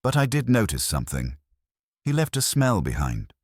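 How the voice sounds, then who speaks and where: A man's voice: on the low side, huge pitch swings, normal speed, breathy edge, no echo, clean studio sound, pitched above the performer's own voice, full character voice. A man voicing a prim, sniffy, guarded English landlady who talks in clipped fragments in a mystery story. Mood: guarded, observant. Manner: clipped, terse fragments, haughty. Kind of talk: dramatised mystery audiobook.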